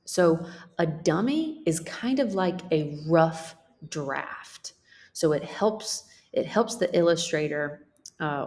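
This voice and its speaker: A woman's voice: low-pitched, darkish tone. A woman teacher reading a picture book aloud as a lesson for children, explaining things simply and checking in with questions.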